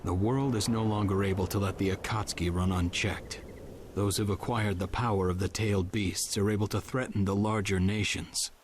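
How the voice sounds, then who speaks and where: An actor voicing a calm, level-headed adult ninja mentor in a video game dub, measured and laid-back. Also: male voice, lowish voice, slight background noise, close to an everyday voice. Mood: grave. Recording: music under the dialogue.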